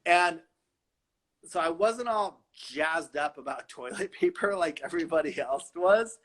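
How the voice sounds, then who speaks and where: Middle-aged man, fairly high voice, teasing and playful, casual chat from home. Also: measured pace, fairly bright tone. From Los Angeles. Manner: playful, teasing, laughs often. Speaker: fashion designer guest. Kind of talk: casual video-call chat. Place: his home.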